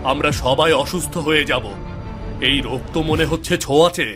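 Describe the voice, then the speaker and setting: A man plays a weak village husband in a cartoon moral story, with loud music behind him. His voice sits fairly high, fretful and blaming. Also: a little fast, natural voice. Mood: accusing, worried.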